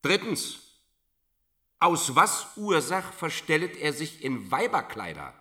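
A seventeenth-century army judge in a radio play, set a little back from the microphone. His delivery is piercing and very varied in pitch. He is formal, suspicious and threatening, working pedantically through his interrogation. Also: big pitch swings, slow pace, pushed and bright, far from the mic, full character voice. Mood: stern, methodical. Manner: formal, pedantic, point-by-point questioning. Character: suspicious, threatening male regimental judge of the Thirty Years' War. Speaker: military magistrate interrogating a prisoner.

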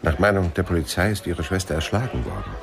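Very deep, laconic hard-boiled detective. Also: male voice, very animated, fast talker, vocal fry, heavily acted. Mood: dry, unimpressed. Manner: dry, laconic. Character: laconic, hard-boiled male private detective, world-weary narrator. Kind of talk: radio crime drama narration and dialogue. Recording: music behind the voice.

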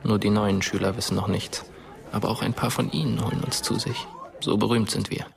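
Brooding dead teenage boy, low and gravelly. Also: fairly even intonation, a little fast, crowd noise. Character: dead teenage boy narrating as a ghost, darkly knowing. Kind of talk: German radio drama narration.

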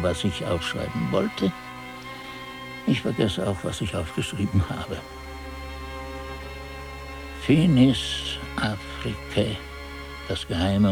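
Elderly monk narrator for radio drama: low register, wide swings from mutter to exclamation, forgetful and haunted. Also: male voice, creaky, full character voice. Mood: musing, troubled. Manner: halting self-talk, swinging from muttering to exclaiming. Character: aged monk chronicler, forgetful, haunted, musing over his manuscript.

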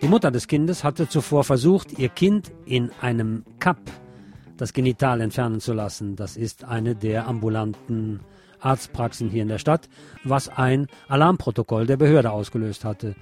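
A man's voice: medium pitch, normal speed, darkish tone. A man presenting radio news with sardonic, opinionated commentary. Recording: music bed under the voice. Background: music.

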